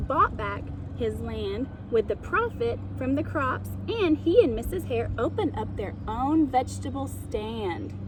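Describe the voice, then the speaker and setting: A woman reading a children's story aloud in a deliberate, expressive, teacherly way, like a storytime host. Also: on the high side. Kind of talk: storytime read-aloud narration. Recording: clear background ambient noise.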